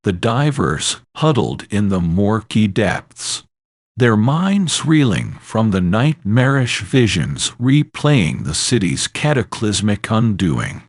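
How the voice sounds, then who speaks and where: A man reads a horror story with theatrical menace. His voice sits low and moves through a wide, animated range. He takes his time over each phrase, sounding ominous and grave. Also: slow pace, no room sound, clean studio sound. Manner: dramatic, ominous storytelling delivery. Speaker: narrator. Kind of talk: scripted horror story narration.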